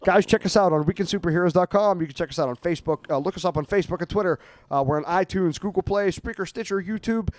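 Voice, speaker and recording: A man's voice: sits high, quick pace, no echo. A man hosting a podcast, giving an upbeat, chatty outro that urges listeners to subscribe and review. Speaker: podcast host.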